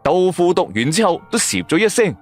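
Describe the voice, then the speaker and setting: Animated Cantonese male storyteller over music. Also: high voice, fairly quick. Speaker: audio drama narrator. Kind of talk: scripted first-person narration of a supernatural radio serial. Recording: music bed under the voice. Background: background music.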